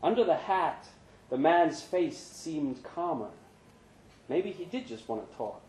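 A man's voice: fairly high pitch, big pitch swings, takes it slowly, a little mellow. A man giving a scripted narration of a short story at a live reading indoors. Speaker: reader of short fiction. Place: pub event, indoors. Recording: echoey live room.

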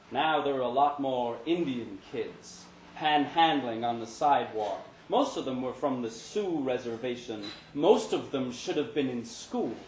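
A man giving a measured, dramatic live reading of a literary short story. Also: medium pitch, darkish tone. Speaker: story reader. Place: pub event room, live reading. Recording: echoey pub room, noticeable background noise.

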